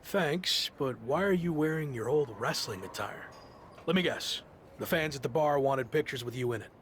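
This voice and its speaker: An actor playing an easygoing young wrestler in a video game cutscene, talking in a dry, casual, skeptical way. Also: male voice, mid-range voice, lively intonation, moderate pace, slight background noise, close to an everyday voice. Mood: wry.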